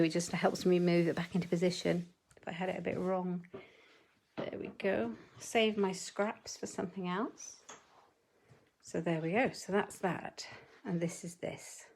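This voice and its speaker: A woman demonstrating card-making in a chatty, step-by-step way, her voice a little croaky. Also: lowish voice, fairly even intonation, darkish tone, a little hiss or hum. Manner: chatty, measured step-by-step instructions. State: slightly croaky voice. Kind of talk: live crafting tutorial.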